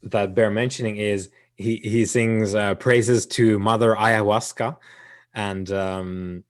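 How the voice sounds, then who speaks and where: A hoarse man runs a tabletop game, narrating slowly and haltingly with plenty of fillers. His voice sits on the low side for a man. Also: fairly even intonation. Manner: hesitant, many fillers, chuckles often. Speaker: game master.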